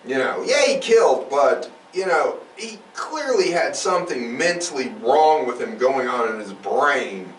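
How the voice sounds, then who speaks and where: A man's voice: medium pitch, very animated, a little slow, few pauses. A man hosting a true crime podcast, telling the story in a casual, emphatic and profane conversational way. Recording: roomy, distant mic, faint noise.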